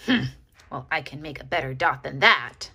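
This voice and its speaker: A storybook schoolgirl, as a woman reader puts her on, full of self-doubt yet determined. She speaks bluntly, with lively emphasis and a bright, slightly husky tone. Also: low voice, normal speed, pitched below the performer's own voice, full character voice.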